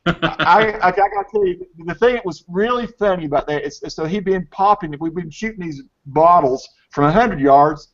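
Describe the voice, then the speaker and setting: A Southern US man in his fifties hosts a casual video call chat, his voice sitting a little high. He talks in a chatty way and repeats words as he restarts. The call audio is dull and lo-fi. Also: moderate pace, few pauses. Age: 50s. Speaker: host.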